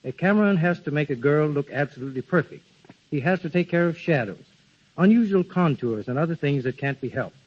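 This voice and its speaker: A man's voice: a little high, animated, a little fast. A man giving a scripted guest endorsement on 1930s radio. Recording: muffled vintage 1930s radio broadcast.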